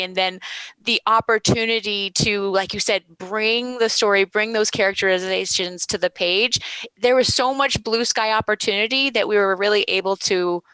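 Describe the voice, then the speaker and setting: A woman's voice: medium pitch, sharp tone, clear, resonant tone, no room sound, rough recording. A woman moderating a panel as a game writer, speaking in an enthusiastic, appreciative and articulate way. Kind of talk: panel discussion.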